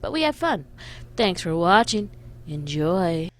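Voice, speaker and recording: A woman's voice: lowish voice, very animated, unhurried. A woman chatting casually as a streamer on a headset mic, with a giggly manner and crude, joking banter. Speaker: streamer, co-host. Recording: faint background noise.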